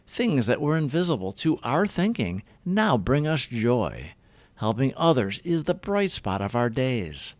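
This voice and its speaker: A man reading daily recovery reflections aloud in an earnest, deliberate, scripted devotional style. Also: medium pitch, very animated, dry recording.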